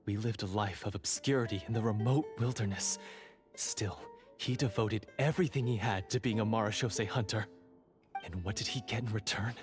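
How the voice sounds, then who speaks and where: A man's voice: fairly low pitch, lightly acted. A man voicing a bitter, resentful monster hunter who betrays his companions in a video game. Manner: resentful, scornful villain. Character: bitter male monster hunter turned traitor.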